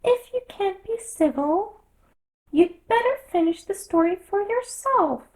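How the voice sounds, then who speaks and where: A woman's voice: very high voice, animated, measured pace, voice pushed up. A woman voicing a small, sleepy, easily offended male dormouse telling a story in a storybook reading. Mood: indignant.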